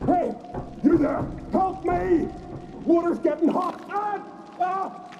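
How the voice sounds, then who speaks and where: An actor playing a panicked captive in a fantasy video game, yelping frantically for help. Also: male voice, very high voice, steady tone, slow pace, dull audio, exaggerated voice. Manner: frantic shouted yelps. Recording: distant, very echoey, noisy ambience.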